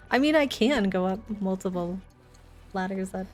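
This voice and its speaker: A woman's voice: medium pitch, lively intonation, measured pace, a little mellow, soft background music. A woman chatting casually with her viewers while livestreaming a video game, in a chatty, giggly manner.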